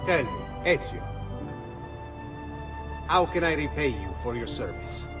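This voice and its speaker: A man's voice: mid-range voice, very animated, unhurried, music in the background. A man playing a spirited, grateful leader of thieves with an Italian accent in a game. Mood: triumphant. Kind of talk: cinematic game acting.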